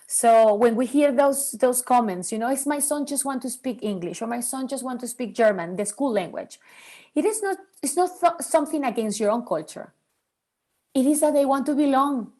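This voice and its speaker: A woman in her forties from Venezuela whose first language is Spanish, speaking as a guest about raising bilingual children in an earnest, animated way. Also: high-pitched, moderate pace. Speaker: podcast guest, bilingual parenting expert. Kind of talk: interview conversation.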